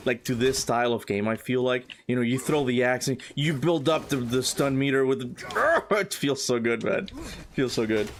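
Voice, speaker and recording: A man's voice: medium pitch, animated, moderate pace, a little hiss or hum. A man born in Cuba, streaming a video game and chatting casually with his viewers as he plays, often laughing. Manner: chatty, reads chat aloud, laughs often. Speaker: gaming streamer. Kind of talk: casual livestream chat while playing.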